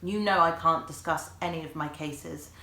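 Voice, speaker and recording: A woman's voice: sits low, normal speed, bright, forceful tone. A woman voicing a playful, secretive detective aunt in a children's story, teasing and knowing in manner. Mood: sly. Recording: echoey room.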